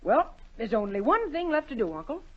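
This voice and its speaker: A haughty young grand duke in an old radio play. His lively, swooping voice is full of spite and gloating. The recording sounds dull and dated. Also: very high-pitched, fairly quick, full character voice. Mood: haughty, scheming. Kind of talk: 1940s radio acting.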